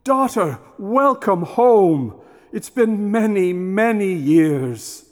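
A man's voice: high voice, lively intonation, darkish tone, exaggerated voice. A man playing a solemn, fatherly king in an audio fairytale, ceremonious and stately. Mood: solemn welcome. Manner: ceremonious, drawn-out. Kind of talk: audio theatre fairytale acting. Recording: long hall reverb.

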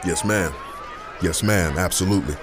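An actor playing a courteous but fed-up protest leader, speaking with formal, emphatic passion in an audio drama. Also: male voice, deep voice, normal speed, a crowd in the background, noisy background, close to an everyday voice. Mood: firm. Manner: formal, emphatic, impassioned oratory. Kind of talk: audio drama street interview.

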